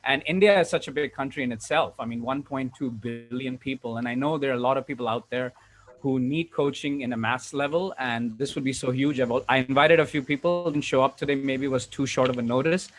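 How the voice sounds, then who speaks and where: A man's voice: medium pitch, fairly quick. A man from Hong Kong giving an earnest, enthusiastic personal testimonial to an online group. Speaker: public speaking trainer and coach. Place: online group video call. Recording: noisy online call, uneven level.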